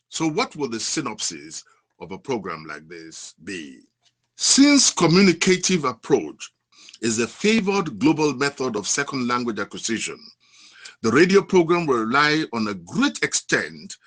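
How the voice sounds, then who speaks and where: A man presents prepared academic remarks in a formal, measured way. His voice sits at a medium pitch and swings widely up and down as he goes. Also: a bit bright. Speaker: academic contributor.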